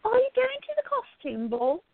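A woman voicing a quiet, curious gallery worker in a radio play, chatty and gently prodding her friend. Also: extremely high pitch, wildly swooping pitch, normal speed, dry recording, voice pushed up, exaggerated voice. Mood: coaxing. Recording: tinny, phone-like narrow sound.

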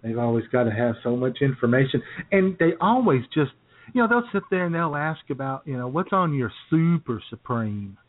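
A man with a mid-range voice co-hosts a casual talk show. He trades comic anecdotes in relaxed banter and chuckles often. The sound is thin and narrow, like a phone line. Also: mid-range voice, normal speed. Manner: chuckles often while talking.

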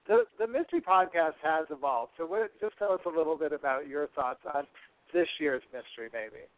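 A man's voice: a little high, brisk. A man hosting a podcast, chatting casually and making announcements in a run-on, chatty way. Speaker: podcast host. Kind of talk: casual live show chat, announcements. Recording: narrow, tinny phone-like broadcast line.

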